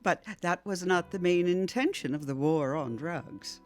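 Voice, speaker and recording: A woman's voice: fairly low pitch, a little slow, a little mellow, faint music behind, close to an everyday voice. An actress playing a gushing, smug establishment woman hosting a celebration in a satirical audio drama. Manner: theatrical, polite society hostess.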